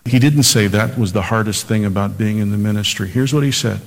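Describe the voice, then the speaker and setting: A man's voice: fairly low pitch, creaky. Earnest preaching man in a hall. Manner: earnest preaching, slows down on scripture. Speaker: pastor. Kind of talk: radio sermon.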